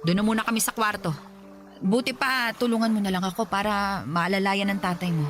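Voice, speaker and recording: A woman's voice: medium pitch, steady tone, brisk, a bit bright, lightly acted. Actress as worried, no-nonsense mother over music. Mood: worried, weary. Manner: fretful, no-nonsense. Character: worried, weary, bossy middle-aged mother. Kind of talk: radio drama acting.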